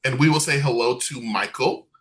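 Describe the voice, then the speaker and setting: A man's voice: mid-range voice, moderate pace, crowd noise. A man hosting an online game night over a video call, chatty, upbeat and self-deprecating. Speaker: game show host.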